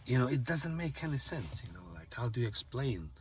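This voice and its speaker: A man from Bosnia talking in a casual, reflective vlog, musing softly and often dropping to a near-whisper, from a parked car. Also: medium pitch, sing-song, fairly quick. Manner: musing, soft-spoken, drifts into near-whispers. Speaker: vlogger.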